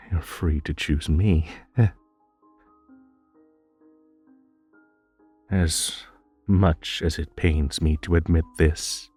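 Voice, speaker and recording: A sweetly menacing, possessive magical bunny played by a man speaks in a deep male voice. The delivery crawls along, coaxing and soothing like a hypnotist's. It is an intimate audio roleplay with soft music underneath. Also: slightly rough, dry recording, exaggerated voice. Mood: wistful, pleading.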